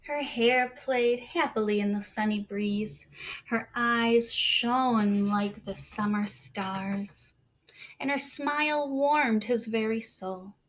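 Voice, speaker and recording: Slow, high-voiced woman reading to children. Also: low-quality recording. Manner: deliberate, dramatic pauses, questions to young listeners. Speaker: teacher reading a picture book aloud. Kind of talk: expressive read-aloud for children.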